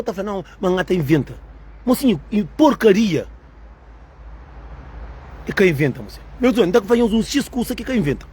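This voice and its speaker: Husky woman going on a rambling rant for her video, repeats herself a lot. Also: medium pitch, a little mellow. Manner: rambling and repetitive. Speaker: video creator. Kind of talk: ranting monologue to viewers.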